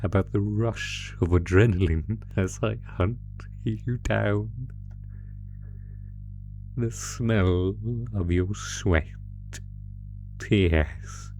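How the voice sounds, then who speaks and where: A man's voice: sits low, fairly even intonation, very slow, pauses often, a little mellow, faint music behind, full character voice. A man voicing a sadistic, predatory monster from under the bed in a horror audio roleplay, taunting the listener with mock-sweet, savoring menace. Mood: eager, hungry.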